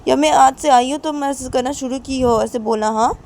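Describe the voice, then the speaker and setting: A woman's voice: sits high, fairly quick, vocal fry, a little mellow. A woman acting as a hurt mother who admonishes a child and blames herself repetitively, in an amateur story. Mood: reproachful. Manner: repetitive, self-blaming, admonishing. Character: hurt, reproachful mother gently scolding a child.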